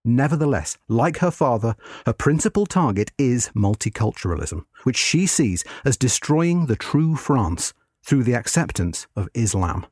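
Male article narrator: measured, formal scripted history read, animated pitch movement, close dry recording. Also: breathy edge, no room sound.

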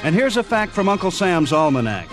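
A man's voice: high-pitched, very animated, a little fast, music in the background. An American man reading a scripted radio sign-off as the host of the show.

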